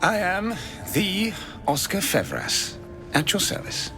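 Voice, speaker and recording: Dramatic artist in a game, talks slow w big swings in pitch, florid. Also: male voice, wildly swooping pitch, full character voice. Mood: wry, grandiose. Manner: florid, theatrical, hesitant. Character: florid, lovelorn male fantasy painter, theatrical and self-dramatizing. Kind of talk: video game character dialogue. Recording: game dialogue over background music.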